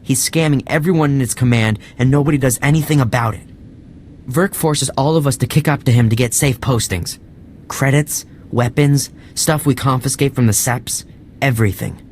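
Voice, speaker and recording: An actor playing an earnest, anxious junior soldier in a video game, speaking with deferential urgency. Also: male voice, mid-range voice, normal speed, darkish tone, slight background noise, lightly acted. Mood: worried, urgent.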